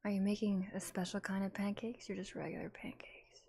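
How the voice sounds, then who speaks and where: A woman chatting on a live ASMR stream, moving between breathy whispers and soft speech close to the microphone. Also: mid-range voice, flat delivery, a little fast. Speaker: streamer, ASMR roleplayer and voice actor.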